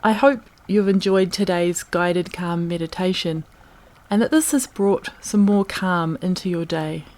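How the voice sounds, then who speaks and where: A woman narrating a guided meditation in a calm, gentle, unhurried manner, leaving long pauses between phrases. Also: on the low side.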